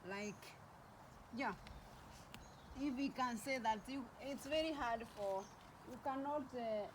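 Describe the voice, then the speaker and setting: A woman's voice: a little high, slow pace, noisy background. A woman from Kenya with a Kenyan accent chatting casually in a rambling vlog, repeating phrases for emphasis. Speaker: vlogger.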